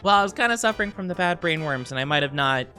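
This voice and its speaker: A woman's voice: fairly low pitch, lively intonation, fast, bright, forceful tone, soft background music. A woman streamer casually chatting with her viewers from her home streaming room, playful and teasing as she answers chat.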